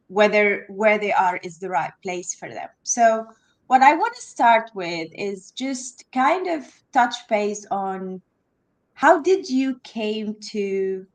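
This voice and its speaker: A woman hosting a casual interview, speaking in a relaxed, hesitant way with frequent fillers and restarts. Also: mid-range voice. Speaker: host.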